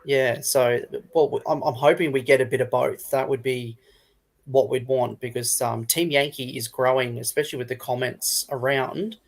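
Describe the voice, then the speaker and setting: A man's voice: medium pitch, fairly even intonation, normal speed, vocal fry. A man talking casually as a panellist on a hobby livestream.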